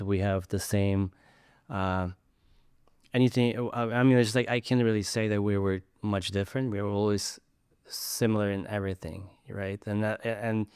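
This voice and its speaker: A man with a low, smooth, resonant voice. He talks earnestly and casually, stumbling and repeating words as he looks for the right ones. The sound is close and dry. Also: a little mellow, no room sound. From Ukraine. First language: Ukrainian. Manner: earnest, repeats words while searching for them. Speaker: podcast guest. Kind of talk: casual conversation.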